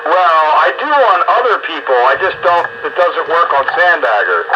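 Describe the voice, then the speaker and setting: A man's voice: a little high, sing-song, moderate pace. A man chatting casually as an operator over two-way CB radio. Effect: two-way radio transmission. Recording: dull, noisy CB radio audio.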